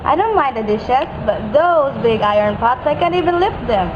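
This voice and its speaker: A woman's voice: high voice, animated, moderate pace. A woman playing a teasing, caring young woman in an amateur radio play, with a direct way of talking. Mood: playful. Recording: dull lo-fi audio, noisy background.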